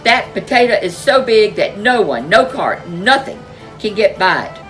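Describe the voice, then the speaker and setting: A woman's voice: medium pitch, expressive, normal speed, a bit bright, faint music behind, lightly acted. Lady doing a grumpy constable griping, storybook voice. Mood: grumbling. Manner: complaining. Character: grumbling village constable man.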